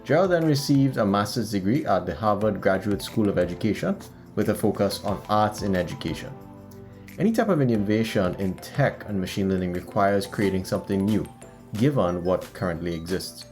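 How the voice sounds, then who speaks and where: A man's voice: on the low side, lively intonation, brisk, a little mellow, soft background music. A man hosting a podcast, reading a guest's biography and then chatting with him in an upbeat way. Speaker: podcast host. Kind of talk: scripted intro then casual interview.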